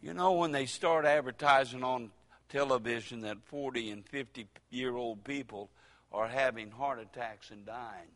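Older preacher in an echoing church. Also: male voice, medium pitch. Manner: folksy preaching with dramatic pauses and sudden loud rises. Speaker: pastor. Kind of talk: sermon.

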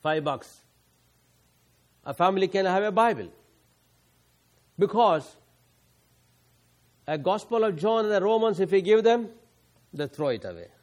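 A man with an Indian accent, a visiting pastor preaching to a church congregation in an earnest, halting way with frequent restarts. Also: high voice, expressive, a little mellow.

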